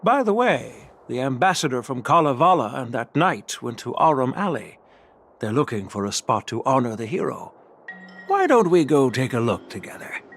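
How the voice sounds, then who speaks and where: A man's voice: medium pitch, big pitch swings, normal speed. An actor playing an elderly general and doting grandfather in a video game, patient and easily amused. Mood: calm, fond. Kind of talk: scripted game voice acting.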